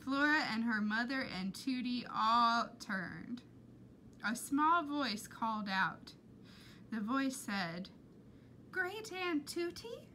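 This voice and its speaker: A woman reading a story aloud in an expressive, animated and deliberate way. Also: a little high, slight background noise. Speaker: storybook reader. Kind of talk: expressive read-aloud narration.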